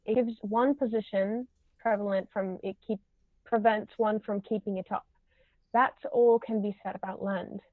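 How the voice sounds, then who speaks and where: A woman's voice: steady tone, moderate pace, natural voice. A woman reading the part of an imperious, snobbish older aristocratic lady in a stage comedy, pompous and formal in manner. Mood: pompous, judging. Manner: pompous, formal, pronouncing judgments. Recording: roomy, muffled low-quality recording.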